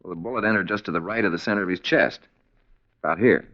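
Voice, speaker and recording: A man's voice: low voice, quick pace, lightly acted. An American man playing a dry, laconic police forensic expert in a 1950s radio drama. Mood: dry, analytical. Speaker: crime lab technician. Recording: muffled 1950s radio recording.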